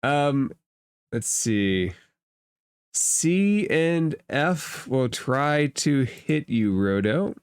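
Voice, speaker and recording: A game master narrating a tabletop game in a measured, matter-of-fact way. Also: medium pitch, big pitch swings, clear, resonant tone, dry recording, clean studio sound.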